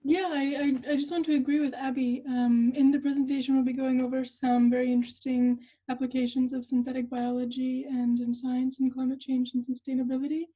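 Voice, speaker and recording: A woman's voice: sits high, flat delivery, brisk, few pauses, mellow, slightly rough. A woman speaking agreeably on an online panel. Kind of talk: casual talk. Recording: dull lo-fi audio.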